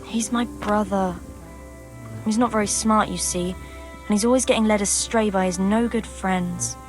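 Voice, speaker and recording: A woman's voice: medium pitch, steady tone, unhurried, faint music behind, natural voice. An actress playing a protective, worried young peasant woman in a video game, guarded and plain-spoken. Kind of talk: video game cutscene acting.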